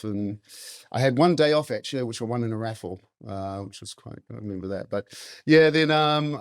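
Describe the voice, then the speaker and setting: A man's voice: fairly low pitch, a little mellow, no room sound, clean studio sound. A middle-aged man with a New Zealand accent, telling stories casually as an interview guest. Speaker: interview guest, meat specialist and butcher. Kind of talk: casual conversational storytelling.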